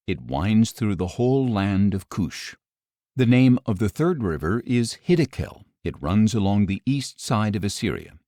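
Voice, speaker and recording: A man reading scripture as an audiobook narrator, in a measured, solemn manner. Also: on the low side, darkish tone, no echo, clean studio sound.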